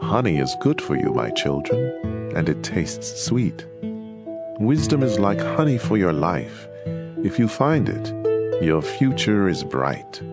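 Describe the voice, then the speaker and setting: A man's voice: sits low, big pitch swings, takes it slowly, heavily acted. An actor playing a fatherly teacher counseling his children in a dramatized, instructive scripture reading. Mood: tender counsel. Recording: music playing behind the voice. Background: instrumental music.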